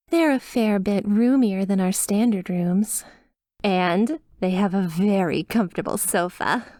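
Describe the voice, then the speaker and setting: A woman's voice: mid-range voice, a little mellow, no room sound, studio quality, close to an everyday voice. A woman voicing a chipper, sweetly reassuring demon resort receptionist with a polished, over-polite customer service voice in a scripted ASMR roleplay.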